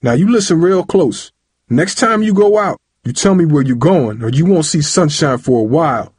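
Man acting a furious, domineering dad, pitched up and loud. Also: lively intonation, normal speed, slightly rough, no echo, clean studio sound. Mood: scolding, threatening. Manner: shouting, interrogating, colloquial and threatening. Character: angry, domineering father of a teenage boy. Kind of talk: audiobook character voice.

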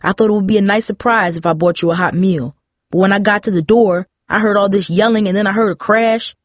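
A woman's voice: medium pitch, brisk, no room sound, studio quality, close to an everyday voice. Woman acting a furious, protective, scolding dad. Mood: angry, scolding. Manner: stern, forceful, scolding. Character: furious, protective father confronting daughter and boy.

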